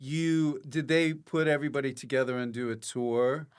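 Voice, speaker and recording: A man's voice: mid-range voice, fairly even intonation, normal speed, clean tone. A man hosting a podcast, putting short, inquisitive questions and wry interjections in a casual chat. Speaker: podcast host.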